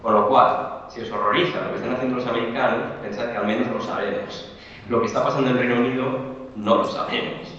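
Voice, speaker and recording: A man's voice: medium pitch, steady tone, quick pace, fairly bright tone, slight background noise. A man giving an academic conference talk over a video link, often repeating words as he thinks. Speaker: conference speaker. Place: remote video call. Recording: echoey distant lo-fi video call.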